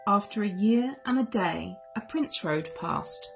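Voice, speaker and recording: Slow-paced woman reading a children's story. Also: medium pitch, lively intonation, gravelly. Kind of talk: expressive read-aloud story narration. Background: music.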